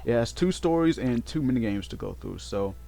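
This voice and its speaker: A man giving casual, unscripted game commentary in a halting way, often repeating and correcting himself as he goes. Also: mid-range voice, animated, vocal fry, dark tone. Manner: halting, repetitive, self-correcting. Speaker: let's play host. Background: game music.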